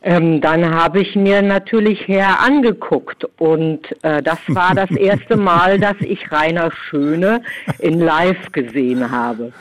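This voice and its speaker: A woman's voice: lowish voice, takes it slowly, low-quality recording. An older woman, a surprise guest calling in by phone to a radio show, chatting casually and playfully. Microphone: telephone line.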